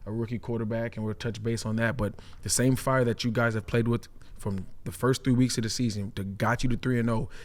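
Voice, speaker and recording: A man's voice: medium pitch, steady tone, brisk, hardly any pauses. A man giving casual sports analysis as a former player, emphatic and seeking agreement as he goes. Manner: emphatic, repeats "right?" to check agreement.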